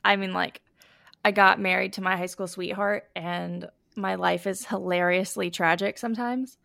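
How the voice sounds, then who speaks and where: Young female podcast host, chatty, allergy-scratchy and sniffly, gravelly fry, dry room. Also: mid-range voice, fairly even intonation, normal speed, pushed and bright, dry recording. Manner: chatty, lots of fillers. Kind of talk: casual chat.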